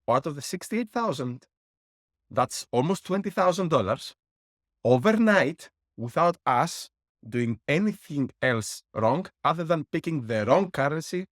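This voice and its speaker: A man's voice: mid-range voice, dry recording, clean studio sound. A middle-aged man from Greece, a guest explaining business lessons with worked numbers and a wry laugh. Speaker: guest, board game publisher. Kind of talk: interview answers.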